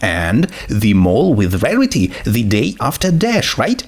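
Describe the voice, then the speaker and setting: A man's voice: lowish voice, huge pitch swings, measured pace, exaggerated voice. A man voicing a dutiful young princess, a friendly but firm female friend, reasonable and apologetic, in a story reading. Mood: encouraging.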